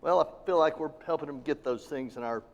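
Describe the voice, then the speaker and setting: A man's voice: fairly high pitch, brisk, lightly acted. A middle-aged man playing a kindly, worn-out father in a live stage radio play, speaking in an earnest, fatherly way. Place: theater stage, live audience. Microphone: standing stage mic. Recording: long hall reverb of a theater.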